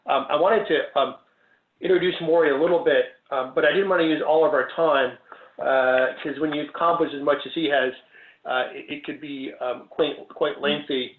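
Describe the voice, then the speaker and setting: Organizer intro, man, hesitant and flat-toned, phone-quality echoey audio. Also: fairly high pitch. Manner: halting, many ums and restarts. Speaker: event organizer introducing a panel. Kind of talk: hesitant welcoming remarks on a video call. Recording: echoey, tinny phone-like line.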